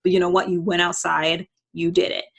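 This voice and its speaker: A woman's voice: on the low side, little pitch movement, fairly quick, vocal fry, slightly rough. A woman giving casual motivational advice as a host, conversational and emphatic, often voicing imagined self-talk.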